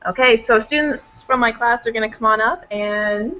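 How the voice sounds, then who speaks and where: A woman teacher with a quiet, soft voice, hosting a video call with her class. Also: on the high side, normal speed, a little hiss or hum. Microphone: laptop. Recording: dull classroom audio.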